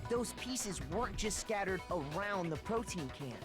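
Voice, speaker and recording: A woman's voice: medium pitch, lively intonation, moderate pace, natural voice. A woman voicing an earnest, confident young student explaining things emphatically in an anime game. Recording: loud music behind. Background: loud game music.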